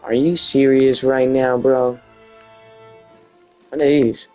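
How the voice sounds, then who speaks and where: Man, Dutch accent, slow pace, casual gaming commentary, informal, faint music behind. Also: mid-range voice, level tone, darkish tone. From Netherlands. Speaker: gaming video host.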